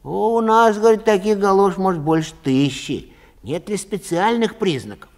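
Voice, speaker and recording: A man's voice: high voice, lively intonation, moderate pace, a little mellow, voice pushed up. A man playing a polite but unbudging lost-property clerk in a comic story, bureaucratic and colloquial. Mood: brisk. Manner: bureaucratic, colloquial, matter-of-fact. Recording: long hall reverb.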